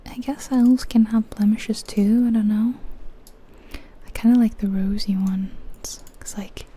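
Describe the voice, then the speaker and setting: A woman whispering softly and breathily in an ASMR style, gently musing aloud as she comments on a video game. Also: medium pitch, steady tone, measured pace, slightly rough.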